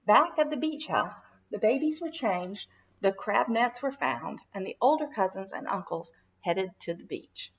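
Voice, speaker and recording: A woman narrating a children's storybook in an expressive, dramatic read-aloud style for young listeners. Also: medium pitch, measured pace, breathy edge, muffled recording. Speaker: children's minister reading a storybook.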